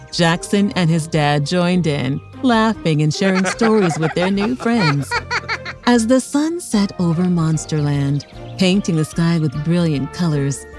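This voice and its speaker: A woman's voice: fairly low pitch, a little mellow. A woman reading a scripted children's story aloud as narrator, expressively, for young children.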